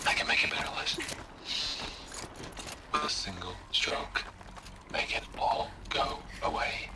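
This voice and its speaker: A man's voice: fairly high pitch, expressive, measured pace, heavy background noise. An actor playing a bitter, unhinged, obsessive man in a video game, muttering intensely in fragments. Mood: obsessive, hushed. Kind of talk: dramatic video game acting.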